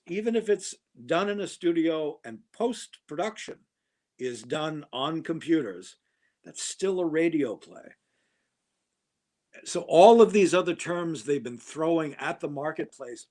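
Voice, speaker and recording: Opinionated man with a fairly high voice. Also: expressive, unhurried, darkish tone. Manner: opinionated, holding forth on a pet topic. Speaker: podcast guest, audio drama producer. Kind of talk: casual podcast conversation.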